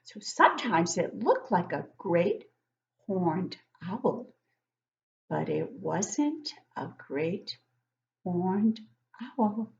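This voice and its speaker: An older woman reads a picture book aloud to young children like a teacher, expressively, pausing to ask them questions. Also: on the low side, big pitch swings, gravelly, dull audio, a little hiss or hum. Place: living room at home, indoors.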